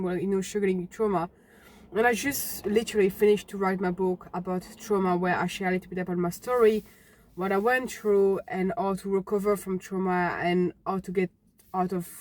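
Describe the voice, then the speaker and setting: A young woman from Belgium, telling her own story unscripted to camera in a halting, earnest way, often restarting words. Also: medium pitch, a little mellow, no room sound. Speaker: fitness vlogger.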